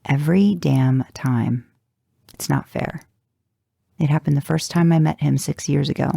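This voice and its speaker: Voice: mid-range voice, measured pace, gravelly, mellow, breathy edge, no echo, clean studio sound. A narrator reading first-person fan fiction in an audiobook style, with a wry, intimate delivery. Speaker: fan fiction podcast reader.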